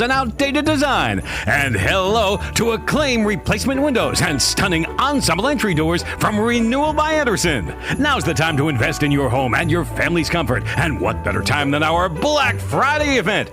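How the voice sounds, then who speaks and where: A man announces a radio commercial in a high, very lively voice. His delivery is an upbeat, scripted sales pitch. Music plays behind him. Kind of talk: scripted radio advertisement read.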